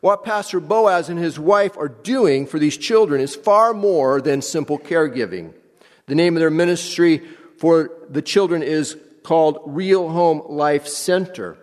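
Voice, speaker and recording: Guy introducing the guest preacher, kinda high voice, echoey church. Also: a little slow, a little mellow. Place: church sanctuary.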